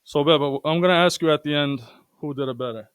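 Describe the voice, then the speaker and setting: A man's voice: a little high, quick pace, fairly bright tone, clean tone, dry recording. A man hosting a casual comedy podcast, bantering playfully and swearing freely. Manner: playful, profane. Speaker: podcast host.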